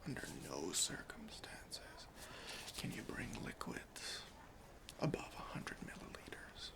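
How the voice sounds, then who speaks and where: A man performs an ASMR roleplay in a breathy, crackly whisper. He plays a lenient, deadpan airport security officer, speaking very slowly and deliberately, with pauses and widely swinging intonation. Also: deep voice. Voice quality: breathy whisper with some fry. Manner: slow, hushed, deliberate, with pauses.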